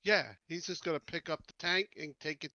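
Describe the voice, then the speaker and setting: Male tabletop player, sharp and fairly high voice, blunt and commanding, slightly dull dry audio. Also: a little fast, no room sound. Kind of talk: casual in-character game chat.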